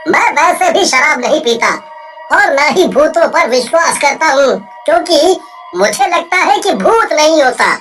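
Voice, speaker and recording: A woman's voice: high-pitched, lively intonation, a little slow. A woman voicing a brave, kind young village man in a kids' cartoon, speaking earnestly and plainly. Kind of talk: cartoon voice acting. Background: soft music.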